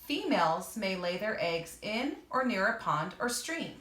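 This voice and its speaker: In an echoey room, a woman with a mid-pitched voice reads nonfiction aloud for young children. She talks straight to her listeners like a teacher, stepping out of the text to explain things simply. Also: sing-song, measured pace, a bit bright.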